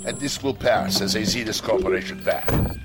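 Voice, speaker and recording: A man's voice: lowish voice, lively intonation, normal speed, lightly acted. A man playing a grumbling, sceptical member of a fringe crew in a science fiction audio drama. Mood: disapproving. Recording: music under the voice.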